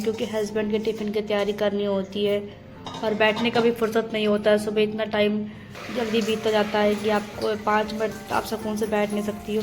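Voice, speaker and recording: A young woman homemaker narrating her daily chores in a steady, matter-of-fact voice-over, step by step. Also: mid-range voice. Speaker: homemaker vlogger. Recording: voice-over with loud background music.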